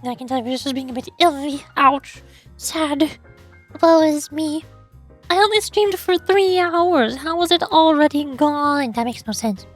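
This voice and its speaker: A young woman streaming a game in casual, rambling chat, her voice hoarse and fading. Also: high-pitched, lively intonation, measured pace, soft background music. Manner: rambling, self-pitying banter with fillers. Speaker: VTuber streamer.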